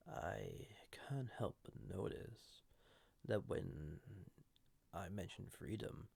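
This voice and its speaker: Man voicing gravelly, slow, ancient banished villain. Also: sits low, darkish tone, dry recording, exaggerated voice. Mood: hurt, hesitant. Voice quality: gravelly, husky. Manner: slow, deliberate, intimate, with pauses. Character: banished male ancient villain entity, flirty and dangerous. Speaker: audio roleplay voice actor. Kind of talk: intimate scripted audio roleplay addressed to listener.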